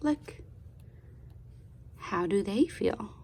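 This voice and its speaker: A woman reading a children's storybook aloud in a deliberate, expressive, teacherly way, pausing to put questions to the listener. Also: mid-range voice, a little hiss or hum. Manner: deliberate, expressive teacher-like delivery with pauses and prompts.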